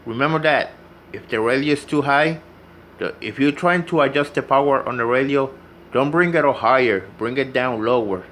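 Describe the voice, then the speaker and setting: A man's voice: medium pitch, normal speed, a bit bright. Man giving hands-on tutorial over background noise. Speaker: radio repair hobbyist demonstrating. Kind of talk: informal hands-on tutorial narration.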